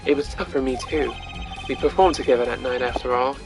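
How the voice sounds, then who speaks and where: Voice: mid-range voice, steady tone, brisk, natural voice. Streamer doing a cool male prosecutor over music. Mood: subdued. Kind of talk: amateur voice acting of a visual novel game.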